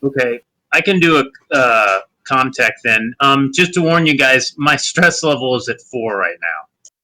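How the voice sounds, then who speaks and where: A man's voice: medium pitch, expressive, unhurried, sharp tone, poor audio. A man playing a tabletop role-playing game, chatting casually as a player.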